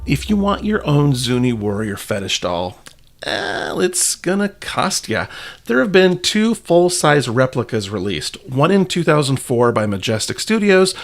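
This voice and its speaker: A man hosting a podcast in a conversational narrating style, with wry, colloquial asides. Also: medium pitch. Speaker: podcast host.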